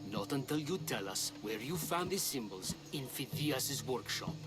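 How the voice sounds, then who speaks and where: Casting: man to play an ancient Greek game heavy, threatening, mid-pitched, very animated. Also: normal speed, loud music behind the voice, lightly acted. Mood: menacing, mocking. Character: menacing male thug interrogator in ancient Greece. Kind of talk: video game cinematic acting.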